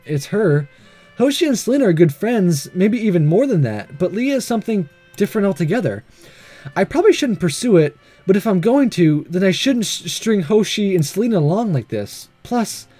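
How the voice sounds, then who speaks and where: A man voicing an earnest, nervous, lovestruck male high school student in a visual novel, speaking hesitantly. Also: dark tone, dry recording. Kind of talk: reading visual novel dialogue aloud.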